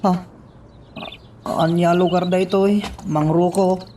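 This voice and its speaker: An actor playing a naive, puzzled, trusting companion in a radio drama, asking simple questions. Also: male voice, high-pitched, little pitch movement, measured pace, mellow, recorded from a distance, slight background noise.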